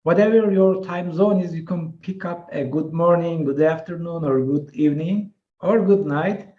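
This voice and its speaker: Turkish-native man, high voice, echoey room. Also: highs cut off. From Turkey. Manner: measured, reads prepared text with fillers. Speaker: associate professor giving a webinar talk. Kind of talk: prepared webinar presentation.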